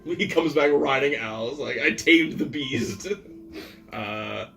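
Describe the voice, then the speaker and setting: A man chatting casually as a player in a tabletop game, crude and jokey, quick to laugh. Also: mid-range voice, gravelly, fairly bright tone. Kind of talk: casual joking chat. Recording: somewhat distant mic, music behind. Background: ambient music.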